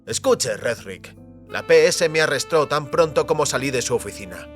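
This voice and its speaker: A man playing a determined, defiant technician who is tired of being used, speaking firmly and deliberately in an audio drama. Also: mid-range voice, expressive, soft background music, close to an everyday voice. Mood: firm, insistent. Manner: firm, deliberate, insistent.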